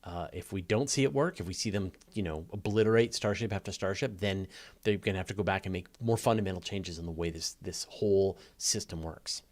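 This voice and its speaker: A man's voice: low-pitched, brisk, darkish tone. A man hosting a live question-and-answer session, talking casually and explaining with emphatic stress on key words. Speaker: host of a live space Q&A.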